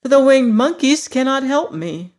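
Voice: medium pitch, normal speed, pitched above the performer's own voice, natural voice. A reader voicing a homesick, eager young girl in a raised, pleading voice. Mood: disappointed. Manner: raised, excited pleading delivery.

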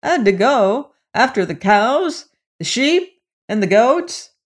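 A woman's voice: medium pitch, lively intonation, unhurried, pitched above the performer's own voice, lightly acted. A woman voicing an earnest, honest old man in a storybook tale. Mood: puzzled. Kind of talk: storybook character voice.

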